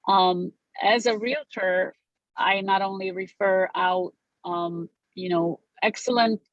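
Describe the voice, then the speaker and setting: A woman's voice: mid-range voice, fairly even intonation, slow pace, no echo, muffled recording. A middle-aged woman born in Romania, a realtor guest chatting casually in an interview, gracious and full of fillers.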